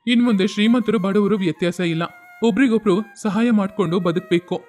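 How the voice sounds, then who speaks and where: A man acts a once-proud village headman, now humbled, in a moral storybook. His voice is high for a man and earnest, with faint music behind. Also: fairly quick, a little mellow, lightly acted. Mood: remorseful.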